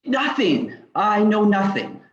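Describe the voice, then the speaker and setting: A man playing a stubborn, secretive village traditionalist with halting, archaic speech in an old-time radio drama. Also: high-pitched, sing-song, exaggerated voice. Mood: defensive, wary. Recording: dull, echoey lo-fi audio.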